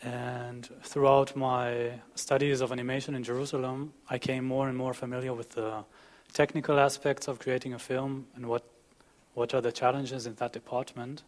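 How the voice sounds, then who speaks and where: A young man with an Israeli accent presents his work at a conference. His voice sits at a middle pitch, and he talks as a student introducing himself and his projects to an audience. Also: level tone, moderate pace. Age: young adult. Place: dim conference auditorium with audience, on a panel. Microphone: headset.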